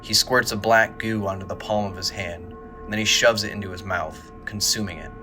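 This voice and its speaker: Low-voiced man narrating over music. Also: steady tone, brisk. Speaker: narrator, writer and director. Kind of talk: scripted audio drama narration.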